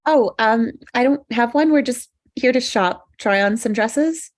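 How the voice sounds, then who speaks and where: A woman's voice: on the high side, measured pace, natural voice. A woman playing an eager, anxious maid of honour, earnest and a little hesitant, in a comedy table read. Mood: uncertain.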